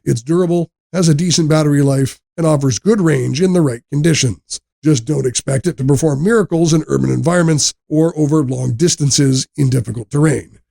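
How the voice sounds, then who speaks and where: A man reading a scripted product review narration in a measured, explanatory way. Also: fairly high pitch, gravelly, warm tone.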